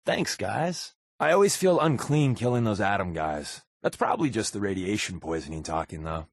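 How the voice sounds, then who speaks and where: An actor playing a wry, sarcastic secret agent companion in a post-apocalyptic video game, with a deadpan, wisecracking manner. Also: male voice, fairly low pitch, normal speed, creaky, no room sound, studio quality. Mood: sardonic.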